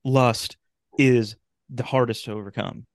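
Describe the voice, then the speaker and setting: A man's voice: medium pitch, steady tone, creaky, no echo. Frank man, dry close podcast sound. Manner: frank, informal, halting. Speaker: podcast guest. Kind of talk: casual podcast conversation.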